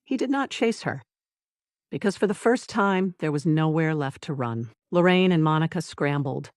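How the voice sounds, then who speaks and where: A narrator reading a scripted dramatic story in a measured, deliberate way, with short phrases and pauses. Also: mid-range voice, animated, no room sound, clean studio sound. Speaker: audiobook narrator.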